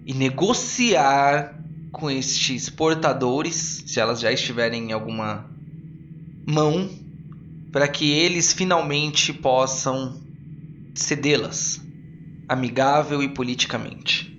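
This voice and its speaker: A man playing a solemn, measured master of an arcane council, formal and authoritative, in a tabletop audio drama. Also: medium pitch, a bit bright, recorded from a distance, muffled recording, a little hiss or hum. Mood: solemn, firm.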